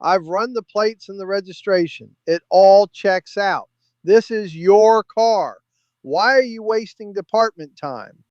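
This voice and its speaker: An actor playing a gruff, impatient police officer in an audio drama, brusque and exasperated. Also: male voice, high voice, a little slow, no echo, dull audio. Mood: impatient, suspicious.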